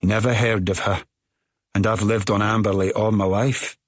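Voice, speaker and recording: A man's voice: on the low side, little pitch movement, normal speed, no room sound, natural voice. A man voicing a tall, weathered, middle-aged Scottish ferry woman who speaks in a curt, matter-of-fact way. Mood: brusque.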